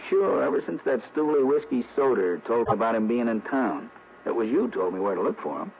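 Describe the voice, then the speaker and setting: A brash male police lieutenant with a lively, swinging delivery, friendly and ribbing. It is vintage 1960s radio drama, slightly muffled with faint noise. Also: mid-range voice, brisk, creaky. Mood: explaining, animated.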